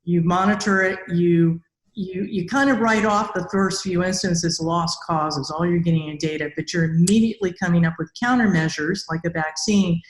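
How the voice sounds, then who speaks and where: Middle-aged woman, slightly low pitch, conversational podcast host, roomy echo. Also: fairly even intonation, normal speed. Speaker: podcast host, robotics academic. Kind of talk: conversational interview. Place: indoor room with bare walls. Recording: echoey room on a video call.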